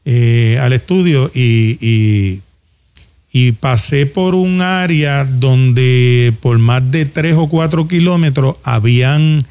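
A male talk show host with a Puerto Rican accent and a gravelly, creaky voice at a middling pitch. He talks in a colloquial, off-the-cuff way, as if handing practical advice straight to the listeners. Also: takes it slowly, a little mellow. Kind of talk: casual conversational commentary. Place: studio.

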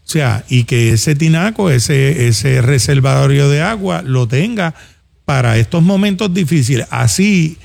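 A man's voice: fairly high pitch, unhurried, vocal fry, darkish tone. A man with a Puerto Rican accent speaking in a colloquial, conversational way as a talk show host, addressing listeners directly. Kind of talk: casual conversational commentary. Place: studio.

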